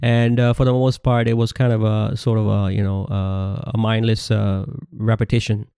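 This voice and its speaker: A man whose native language is Urdu gives a scripture study lecture, reading passages aloud and explaining them with many halting fillers and restarts. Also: lowish voice, flat delivery, gravelly, dark tone, clean tone, no echo, clean studio sound. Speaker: religious teacher.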